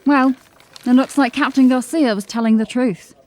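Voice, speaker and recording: A woman playing a diligent, drily witty police constable in a fantasy audio drama, articulate and measured. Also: high voice, steady tone, a little mellow, lightly acted. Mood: dry, informative.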